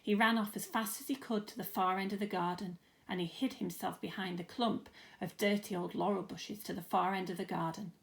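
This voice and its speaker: A woman's voice: medium pitch, steady tone, a little fast, vocal fry, hardly any pauses. A woman narrating a children's storybook expressively, like a teacher reading aloud.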